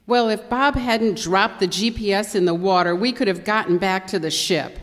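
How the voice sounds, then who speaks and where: A woman's voice: medium pitch, normal speed, close to an everyday voice. A woman playing an easily exasperated, theatrical stranded tourist in a radio skit. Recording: echoey hall.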